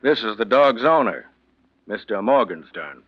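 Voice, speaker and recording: An actor playing a courteous, matter-of-fact police investigator who plainly explains a case in a 1940s radio drama. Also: male voice, on the low side, animated, unhurried, very noisy. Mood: satisfied. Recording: muffled vintage 1940s radio recording.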